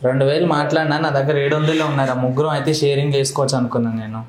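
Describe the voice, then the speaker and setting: Fast-talking Telangana man in an echoey room. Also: medium pitch, steady tone. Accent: Telangana Telugu. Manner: cajoling, coarse, teasing. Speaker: prank caller. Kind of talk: casual slangy phone banter.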